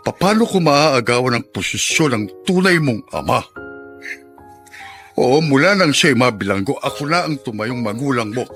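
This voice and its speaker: A man playing a doting, dignified middle-aged congressman father in a Filipino radio drama, measured and theatrical. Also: medium pitch, fairly bright tone. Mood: moved, solemn. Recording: music under the voice.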